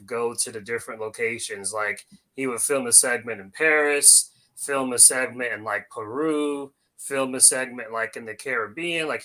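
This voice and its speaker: Bright, forceful man arguing a point casually. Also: mid-range voice, rough recording. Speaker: podcast panelist. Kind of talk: casual opinionated discussion.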